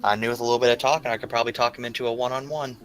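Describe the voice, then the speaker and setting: Bright-voiced man in casual gamer chat. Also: mid-range voice, steady tone, racing. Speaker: player in a tabletop roleplaying game. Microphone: remote voice call microphone. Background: background guitar music.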